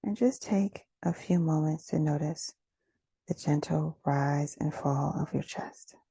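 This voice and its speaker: A woman leading a guided meditation in a gentle, soothing, unhurried manner, with a soft, breathy voice and pauses between phrases. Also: low-pitched, dry recording.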